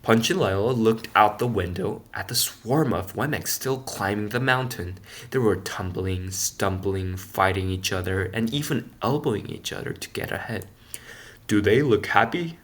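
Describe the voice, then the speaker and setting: Guy reading a kids picture book out loud, lowish voice, expressive w dramatic emphasis. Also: moderate pace, hardly any pauses. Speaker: storybook reader. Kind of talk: expressive read-aloud for children.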